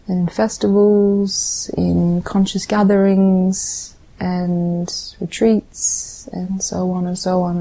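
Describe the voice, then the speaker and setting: A woman guest speaks in a slow, unhurried way. Her voice is dark and mellow, with a gravelly fry. She talks softly and dreamily, with a heartfelt tone. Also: lowish voice, poor audio. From Australia. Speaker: guest musician. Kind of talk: casual interview storytelling.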